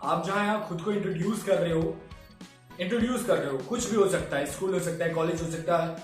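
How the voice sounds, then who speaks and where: Man, high voice, very echoey room, classroom teacher, checks understanding. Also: quick pace, faint music behind. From Bihar, India. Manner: mixes Hindi and English, asks "samajh mein?". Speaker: English teacher. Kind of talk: classroom lesson.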